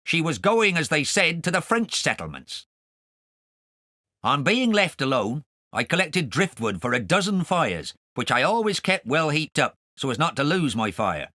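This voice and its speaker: A man's voice: fairly high pitch, big pitch swings, moderate pace, bright, forceful tone, no echo, clean studio sound. A man voicing a self-righteous, rambling sailor with a grudge, telling his confession in an audiobook. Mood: plaintive recollection. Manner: sanctimonious, aggrieved, rambling. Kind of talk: audiobook character narration.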